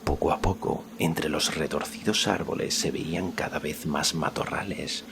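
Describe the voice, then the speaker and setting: A man narrating a scripted fantasy story as an audiobook, with a measured, suspenseful delivery and a gravelly voice. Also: extremely low pitch, background noise. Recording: music behind the voice.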